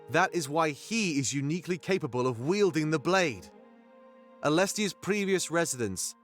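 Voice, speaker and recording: An actor playing a fervent rebel leader in a fantasy video game, giving grandiose, oratorical speeches. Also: male voice, fairly high pitch. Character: fervent male rebel leader, an anthropomorphic animal rallying his fighters. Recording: music behind the voice. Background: music.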